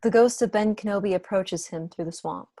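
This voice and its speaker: A woman's voice: medium pitch, fairly quick, warm tone, dry recording. A woman reading stage directions as scripted narration at an amateur online table read. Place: home room, on a video call.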